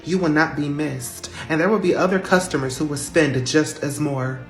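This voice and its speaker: A performer voicing a sassy, confident woman store manager who speaks in a slow, cool, cutting way. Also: mid-range voice, lightly acted. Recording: roomy echo with faint music.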